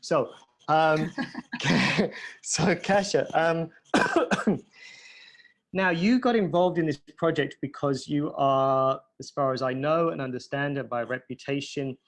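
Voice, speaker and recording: A man's voice: a little high, slow. Male host, native English speaker, easygoing and chatty. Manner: friendly, chatty, uses fillers. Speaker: podcast host. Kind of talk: casual podcast interview.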